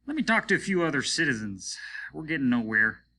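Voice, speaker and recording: A domineering starship captain from a sci-fi storybook, acted out by a male reader. His voice is bright and forceful, pitched up and full of scorn. He issues orders and talks down to those around him. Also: sing-song, fairly quick, exaggerated voice. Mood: scornful, commanding. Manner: loud, commanding, condescending. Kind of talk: dramatic audiobook reading.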